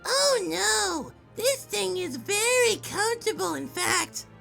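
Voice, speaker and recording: A man's voice: very high voice, expressive, slow pace, gravelly, pushed and bright, soft background music, voice pushed up, heavily acted. A man voicing a sly, sarcastic villainous pony with a mocking, theatrical delivery.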